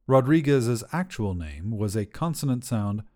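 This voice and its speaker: A man's voice: mid-range voice, very animated, a little slow, warm tone. A man reading a scripted short story as a podcast narrator.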